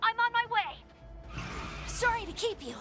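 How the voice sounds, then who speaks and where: A woman voicing a gentle, wistful male creature in a video game, with a soft, lilting voice. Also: very high voice, expressive, measured pace, music in the background, full character voice. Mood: cheerful. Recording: game audio with clear background noise.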